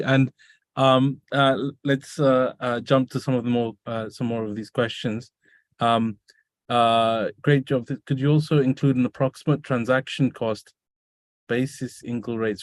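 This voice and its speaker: A man casually moderating a webinar panel as host, halting and thinking aloud between questions. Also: mid-range voice, dry recording.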